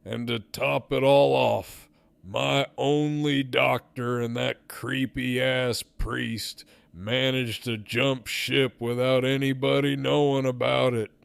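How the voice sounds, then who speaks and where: A man playing a smug, menacing gang leader in an audio drama, with a sardonic, profane, deliberate drawl. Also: mid-range voice, level tone, gravelly, clear, resonant tone, no echo. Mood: cold displeasure.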